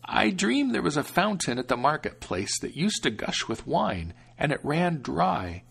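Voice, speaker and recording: Man putting on a high soft old granny, kindly but scolding, storybook telling. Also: sing-song, unhurried, creaky, breathy edge, exaggerated voice.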